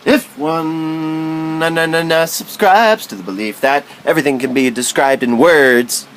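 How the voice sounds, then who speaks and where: A man's voice: fairly high pitch, measured pace, fairly bright tone, slight background noise. A man reading literary prose aloud as spoken word, in a musing, philosophical manner.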